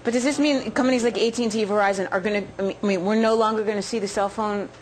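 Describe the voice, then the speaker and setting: A woman's voice: medium pitch, steady tone, rapid-fire, low-quality recording. A woman working as a TV interviewer, asking questions in an informal, chatty way on a live business news show. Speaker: TV interviewer, journalist.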